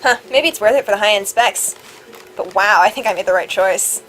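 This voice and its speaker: A woman voicing a bold, talkative young VR enthusiast in a visual novel game, with brisk, assertive geek talk. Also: medium pitch, fairly bright tone, lightly acted, poor audio. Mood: eager, assertive.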